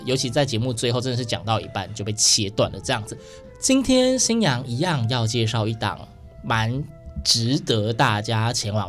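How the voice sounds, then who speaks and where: Male radio host, Taiwanese Mandarin accent, mid-range voice, playful and informal interviewing, music underneath. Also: mid-range voice. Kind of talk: chatty hosted interview. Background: music under the talk.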